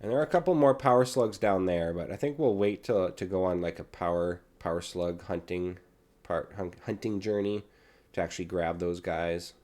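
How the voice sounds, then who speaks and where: A low-voiced young man, hoarse and raspy from a cold, chatting easily as he plays. His tone is dark and mellow, and he talks at a desktop mic. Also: moderate pace. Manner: relaxed, chatty, talks while playing. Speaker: gaming channel host. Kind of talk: casual gameplay commentary.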